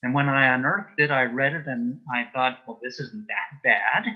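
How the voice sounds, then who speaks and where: A man with a dry, deadpan sense of humour, telling anecdotes and jokes as an interview guest, in a husky voice. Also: mid-range voice, moderate pace, phone-quality sound. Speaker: interview guest, author and former folklorist.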